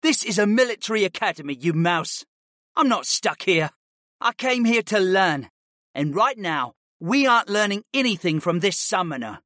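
A man's voice: high-pitched, lively intonation, normal speed, pushed and bright, no room sound, studio quality, voice pushed up. A man voicing a cocky, pudgy rich schoolboy in an audiobook, with a smug, mocking drawl. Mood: scornful. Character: cocky, sneering pudgy rich boy student. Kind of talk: audiobook character acting.

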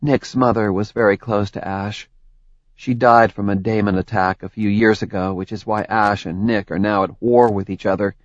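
A male narrator voicing a cheerful, gently teasing young woman with a light, dryly sarcastic manner. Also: medium pitch, animated, measured pace, no room sound. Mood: wistful. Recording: dry, slightly dull audiobook recording.

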